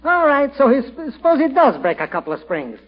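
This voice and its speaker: A man's voice: extremely high pitch, sing-song, quick pace. An actor playing an effusive furniture salesman with a Yiddish-inflected accent and jokey, mangled phrases, in 1940s radio comedy style. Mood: indulgent flattery. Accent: Yiddish-inflected English. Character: effusive, malaprop-prone furniture store salesman, an old friend. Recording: muffled old radio, studio audience.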